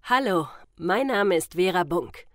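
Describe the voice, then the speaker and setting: Casting: female guest speaker, lower register, casual message delivery, very dry recording. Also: lively intonation, measured pace, no echo. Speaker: guest well-wisher from an audio drama cast. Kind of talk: casual spoken congratulatory message.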